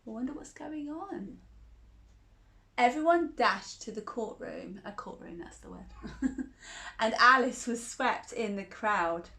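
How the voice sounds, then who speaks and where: A woman's voice: a little high, expressive, slow, gravelly, pauses often, pushed and bright. A woman reading a children's picture book aloud, chuckling often and asking the listener questions. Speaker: children's storyteller.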